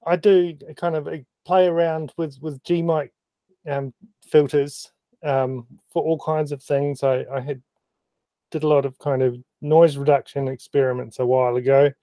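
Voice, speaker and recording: A man with a New Zealand accent casually explaining photo-editing experiments on a video call, halting with many ums and small self-deprecating laughs. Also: fairly high pitch, flat delivery, warm tone, no echo. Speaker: meeting participant presenting photo-editing experiments.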